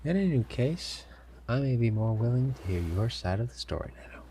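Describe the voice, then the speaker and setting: A man playing a sly, commanding pirate captain in an audio roleplay, smugly amused and theatrical, with deliberate, formal phrasing, teasing pauses and a gravelly voice. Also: mid-range voice, big pitch swings, dark tone, slight background noise, close to an everyday voice. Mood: grave warning. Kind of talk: scripted immersive audio roleplay addressed to listener.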